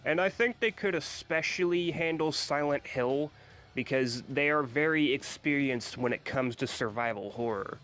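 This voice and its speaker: A man with a stuffy nose from a cold, giving his blunt opinions in a casual gaming vlog, swearing freely and filling pauses with ums and uhs. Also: a little high, a bit bright. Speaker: gaming YouTuber.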